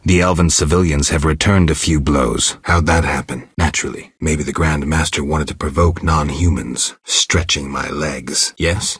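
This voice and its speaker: A world-weary swordsman who hunts monsters in a fantasy video game. His voice is extremely deep and heavily rasped, recorded close and dry. He speaks in short, understated, deadpan phrases, gruff and stoic. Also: dry recording, heavily acted. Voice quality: very deep, gravelly, husky rasp. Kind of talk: video game dialogue acting.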